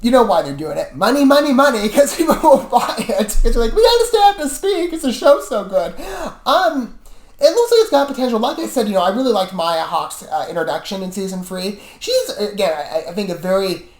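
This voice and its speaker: A woman's voice: mid-range voice, sing-song, a little fast. A woman hosting a reaction video, chatting casually to viewers in a rambling, self-correcting way. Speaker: reaction video host and reviewer. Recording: roomy, echoey room sound.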